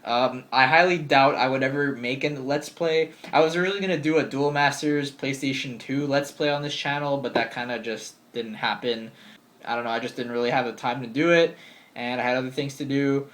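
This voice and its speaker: A man's voice: medium pitch, a little fast, fairly bright tone. A man of twenty answering viewer questions in a casual vlog, chatty and upbeat, full of ums and likes. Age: 20s. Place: bedroom with game shelves.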